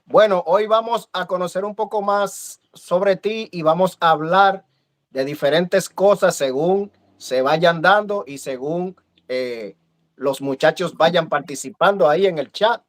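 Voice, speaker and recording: Man, live-stream host, slightly high voice, friendly, halting, filler-heavy, video call. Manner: friendly, hesitant with many fillers. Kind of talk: casual live-stream interview.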